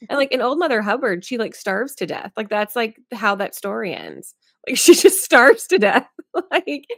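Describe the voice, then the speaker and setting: A woman's voice: fairly high pitch, wildly swooping pitch, normal speed, vocal fry, a bit bright, no echo, studio quality. A woman chatting casually as a podcast co-host, with an informal, giggly manner full of filler words. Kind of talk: casual chat.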